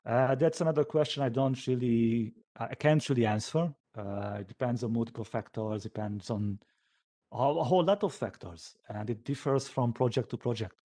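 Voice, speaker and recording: Mellow, halting man answering questions. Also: mid-range voice. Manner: hesitant, halting, thinking aloud. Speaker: software developer giving a conference talk. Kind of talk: informal question-and-answer session after a talk.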